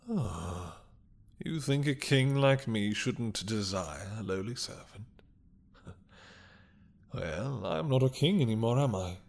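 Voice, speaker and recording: A man playing an ancient, imprisoned mad dragon king in an intimate audio roleplay, seductive and menacing, with a husky, breathy voice and a teasing, hesitant drawl. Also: on the low side, darkish tone, full character voice. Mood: teasing, adoring, then seductive, playful. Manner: slow, teasing, hesitant drawl with murmured asides.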